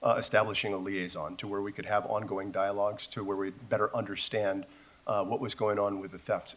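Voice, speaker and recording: A man's voice: on the low side, a bit bright. A man, a police commander, presenting a report at a public meeting, hesitant with fillers. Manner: plain, hesitant with uhs.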